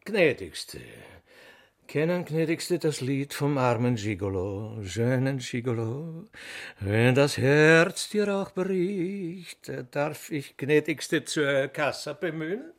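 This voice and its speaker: An obsequious shop assistant in a radio play. His voice sits fairly high and he takes his time over every flowery phrase, unctuous and ingratiating. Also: lively intonation, slow pace. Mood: oily, wooing. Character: obsequious, smooth-talking male shop assistant. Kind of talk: German radio play acting.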